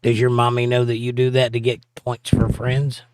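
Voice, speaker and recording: A man's voice: mid-range voice, completely flat delivery, moderate pace, a little mellow, smooth, no echo. A man with a Southern accent hosting a livestream, reading viewer comments in a casual, teasing way and chuckling often. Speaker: livestream host reading chat. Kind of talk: casual chat.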